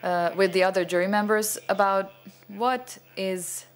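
Older man, halting lecture, fillers, stand mic on a cinema stage. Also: high-pitched, little pitch movement, clear, resonant tone. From Venezuela. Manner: hesitant, many fillers and restarts, gestures broadly. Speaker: cinematographer giving a masterclass. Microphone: stand microphone.

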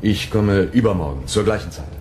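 Actor as a deep, grave man over humming. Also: fairly quick, darkish tone, close to an everyday voice. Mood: grave, concerned. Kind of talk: German sci-fi radio drama dialogue. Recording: clear background ambience, vehicle-like hum.

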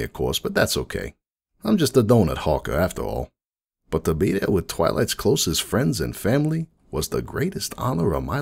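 A man acts out a world-weary middle-aged donut seller pony in a dramatic fan-fiction reading. His low, gravelly voice swings expressively as he confides his story in a folksy, rambling way. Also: full character voice. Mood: proud, nostalgic. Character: jaded, rueful middle-aged male pony donut shop owner. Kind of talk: dramatic first-person monologue reading.

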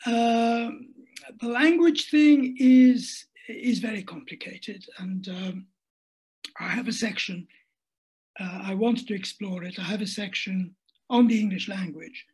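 A man's voice: sits high, takes it slowly, a little mellow, husky, rough recording. An older man speaking in an interview over a video call from home, thoughtful and hesitant, with frequent restarts. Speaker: interviewee, writer. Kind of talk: reflective conversational interview. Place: home room at a desk. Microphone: laptop webcam on a video call.

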